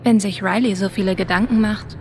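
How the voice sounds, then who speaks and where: A woman's voice: medium pitch, steady tone, fairly quick, over music, lightly acted. A woman playing a wry, friendly young woman in a video game, with a dry, casual way of talking. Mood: reflective. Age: young adult. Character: wry, friendly young woman, long separated from her brother. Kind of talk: naturalistic video game dialogue and inner monologue.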